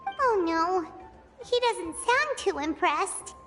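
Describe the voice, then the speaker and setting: A woman voicing a tiny, excitable fairy companion in an anime game, with a squeaky childlike voice and a blunt, exclamatory manner. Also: takes it slowly, faint music behind, exaggerated voice. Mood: wry dismay.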